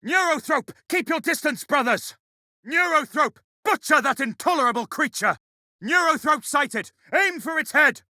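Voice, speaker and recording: An actor playing a fervent, unforgiving space marine in a video game. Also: male voice, extremely high pitch, animated, normal speed, pushed and bright, dry recording, studio quality, heavily acted. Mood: urgent aggression. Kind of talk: video game combat voice lines.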